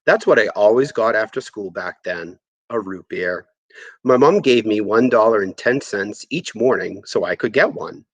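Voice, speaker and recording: A man reading a first-person story aloud in an expressive, conversational way. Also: lowish voice, moderate pace, no room sound. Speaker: audiobook reader.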